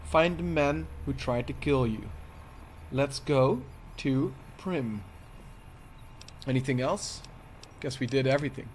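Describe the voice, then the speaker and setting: A man's voice: medium pitch, slow, a little hiss or hum. A man casually commenting on a game as he plays it, sniffling from a cold and musing to himself. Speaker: game streamer. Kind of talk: casual let's-play commentary.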